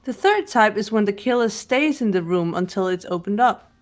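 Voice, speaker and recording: A woman voicing a composed, analytical teenage girl detective in a fan-voiced mystery game, speaking in a deliberate, precise way. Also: mid-range voice, expressive, soft background music, close to an everyday voice. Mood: calm, analytical. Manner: deliberate, precise, lecturing delivery. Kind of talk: fan voice-over of a mystery visual novel.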